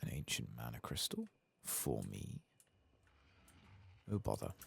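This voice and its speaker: Male gaming streamer, soft-spoken and half-whispered, gravelly with lively swings in pitch, casually sweary running murmur. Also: a little slow, a little hiss or hum. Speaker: streamer playing a video game.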